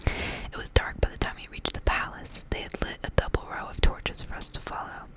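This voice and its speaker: Woman whispering asmr storytime, soft breathy voice, barely any voiced sound. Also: moderate pace. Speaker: ASMR host reading a storybook aloud. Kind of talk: whispered ASMR story reading with casual asides.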